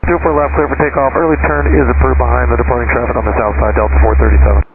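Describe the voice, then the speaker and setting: Fast-talking male pilot over noisy radio. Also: mid-range voice, little pitch movement. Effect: aircraft radio. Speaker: airline pilot. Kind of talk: brisk pilot radio readbacks. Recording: narrow noisy cockpit radio transmission.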